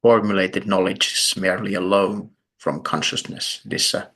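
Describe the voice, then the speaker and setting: A man leading a guided meditation in a deliberate, measured way, leaving long pauses between short phrases. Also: low voice, steady tone, a bit bright, husky. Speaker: meditation teacher.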